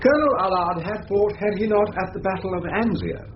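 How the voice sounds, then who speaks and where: An actor playing a pompous English barrister in a radio drama, questioning with mock politeness and insinuation. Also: male voice, high-pitched, expressive, fairly quick. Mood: mock-polite. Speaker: cross-examining barrister. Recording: dull, echoey, noisy radio recording.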